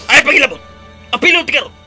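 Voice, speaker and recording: An angry, scolding father from a radio drama. The voice is high, piercing and forceful, berating a schoolgirl over faint music. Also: very animated, fast, exaggerated voice. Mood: furious scolding. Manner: barking, berating.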